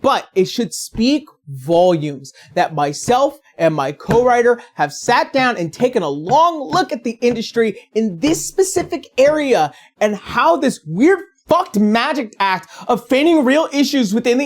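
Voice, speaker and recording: A woman's voice: a little high, a little mellow. A woman delivering scripted, opinionated commentary as a video essayist, in a sarcastic, blunt and profane manner.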